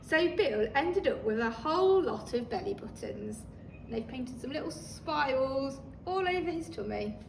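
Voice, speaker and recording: A woman reading a children's story aloud like a teacher, expressive and talking listeners through the pictures. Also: sits high, unhurried. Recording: roomy, distant microphone, faint noise.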